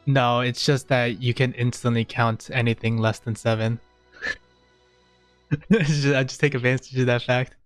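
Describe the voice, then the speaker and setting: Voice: medium pitch, steady tone, normal speed, clear, resonant tone. A video game streamer giving casual live commentary in a hesitant, joking, self-deprecating way. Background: game music.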